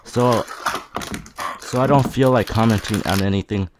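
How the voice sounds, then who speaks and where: Monotone deadpan teenage boy. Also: lowish voice, normal speed, heavy background noise. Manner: deadpan, sarcastic. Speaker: YouTuber. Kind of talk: casual roast vlog narration.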